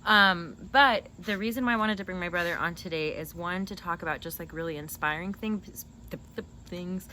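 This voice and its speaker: Low-voiced woman chatting over noise. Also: normal speed, hardly any pauses, pushed and bright. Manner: giggly, informal, digressive. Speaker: host interviewing her brother. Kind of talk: casual chat, storytelling. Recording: clearly noisy background.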